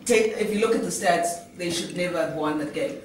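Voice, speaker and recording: A man with a high, bright voice hosts a relaxed sports panel. He talks with a teasing, lively edge. The sound is roomy and picked up from some distance, with a faint hiss behind. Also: a little fast. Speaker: show host. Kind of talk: casual studio sports chat. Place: small studio room, indoors. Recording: echoey room from a distance, faint noise.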